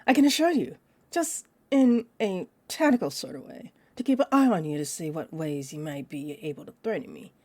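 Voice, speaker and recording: A woman playing a possessive, condescending, centuries-old female vampire in an audio roleplay, speaking to the listener in a haughty, amused manner that drifts toward breathy murmurs. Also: low voice, lively intonation, moderate pace, darkish tone, no room sound. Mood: teasing, possessive. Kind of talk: roleplay audio drama monologue, addressed to listener.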